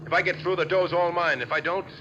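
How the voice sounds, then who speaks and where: An actor playing a tough, impatient young bank robber with a curt American delivery, in 1940s radio style. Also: male voice, high-pitched, fast talker, close to an everyday voice. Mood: irritated, scornful. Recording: muffled old radio, noisy car background.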